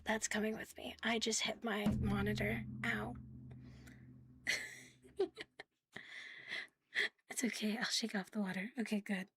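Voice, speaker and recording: A woman chatting casually to viewers on an ASMR livestream, soft and breathy with a playful, cutesy manner, often dropping to a whisper close to the mic. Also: mid-range voice, level tone, a little slow, pauses often, a little hiss or hum. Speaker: ASMR live streamer hosting in a virtual world. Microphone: close, sensitive streaming microphone.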